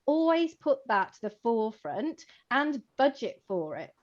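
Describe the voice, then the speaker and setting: A woman's voice: on the high side, measured pace. Chatty woman, slightly lo-fi sound. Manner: chatty, animated, many fillers like "like" and "you know", laughs while talking. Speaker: co-host, co-owner of a computing education company. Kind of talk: informal conversational chat.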